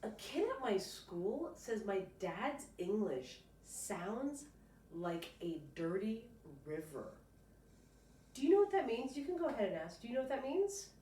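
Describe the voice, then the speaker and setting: A woman teacher reading a picture book aloud to a child, pausing to explain and prompt with encouraging questions. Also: on the high side, very animated, warm tone. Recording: roomy, distant mic, faint noise.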